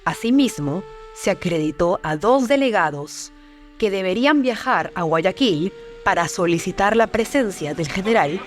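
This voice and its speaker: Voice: medium pitch, expressive, moderate pace, faint music behind. A narrator delivering scripted historical documentary narration.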